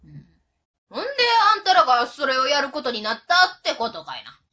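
A woman's voice: sits high, huge pitch swings, fast talker, sharp tone, full character voice. A woman playing a brash, nosy but good-natured auntie with an Osaka accent in an amateur radio drama, talking bluntly and familiarly. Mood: curious, approving.

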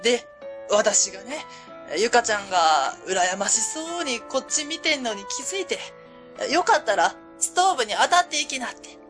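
Casting: actress for warm middle-aged female factory boss, higher-pitched, courteous and fond, radio drama. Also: big pitch swings, moderate pace, over music. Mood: fond, delighted. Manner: polite, hesitant openers, fond chuckles. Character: friendly middle-aged woman company president, warm and modest. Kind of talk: Japanese web radio drama acting.

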